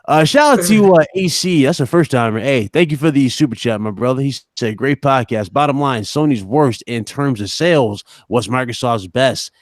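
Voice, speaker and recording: Male livestream host, mid-pitched and dry-sounding, informal gaming commentary with a teasing edge. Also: lively intonation, normal speed, hardly any pauses, no echo. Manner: informal, teasing, addresses co-hosts and chat. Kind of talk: casual live-stream chat and news commentary.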